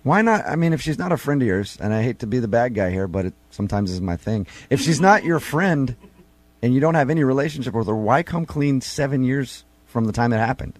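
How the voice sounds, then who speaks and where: A man's voice: medium pitch, expressive, brisk, no echo. A man hosting a morning radio talk show, bantering in a joking, sarcastic way.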